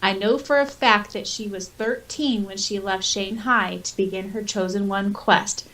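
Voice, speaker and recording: A woman's voice: mid-range voice, steady tone, measured pace, fairly bright tone, low-quality recording. A woman co-hosting a casual fan podcast, chatting and reading listener comments aloud. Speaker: podcast co-host.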